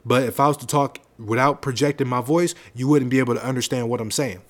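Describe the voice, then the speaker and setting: Deep-voiced man rambling on his podcast. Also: fast. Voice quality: naturally deep voice, projecting. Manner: loose, rambling, conversational with slang. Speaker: podcast host. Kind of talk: casual solo podcast talk. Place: home setup, seated on a leather seat. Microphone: close podcast microphone.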